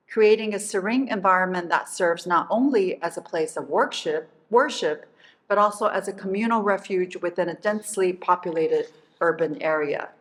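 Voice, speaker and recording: A woman's voice: medium pitch, fairly bright tone. A woman hosting a lecture who reads a formal prepared introduction and slips into fillers when she goes off script. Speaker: faculty host introducing a speaker. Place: lecture hall.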